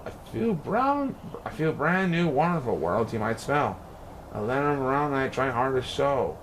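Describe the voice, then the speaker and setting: Guy reading a kids rhyming book out loud, playful sing-song, voice goes up and down a lot, noisy background. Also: medium pitch. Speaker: picture book reader. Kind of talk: expressive read-aloud of rhyming children's story.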